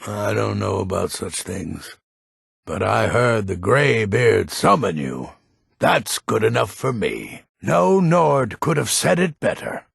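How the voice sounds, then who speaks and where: A gruff, grumpy old man of authority in a fantasy video game. His voice is extremely hoarse and thick with gravel. He speaks bluntly and weightily, in unhurried phrases with an old-world turn. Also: on the low side, very animated, dry recording, clean studio sound, exaggerated voice. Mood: grave, deliberate. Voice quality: raspy, gravelly.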